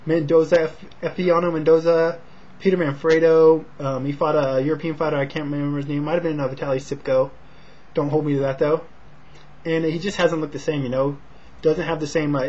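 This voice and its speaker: Husky, low-key American man. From Sacramento, California, United States. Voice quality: husky, not loud. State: slightly short of breath from a rib injury. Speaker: amateur boxing commentator and vlogger. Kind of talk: casual talk to camera, fight preview and prediction. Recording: dull, lo-fi home recording.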